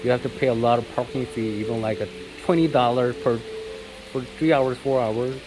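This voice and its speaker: A man's voice: medium pitch, moderate pace, over music, low-quality recording. A Korean-born man whose first language is Korean, talking casually to camera with lots of fillers and restarts. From South Korea. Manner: rambling, many fillers and self-corrections. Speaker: vlogger. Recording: steady rain noise in background.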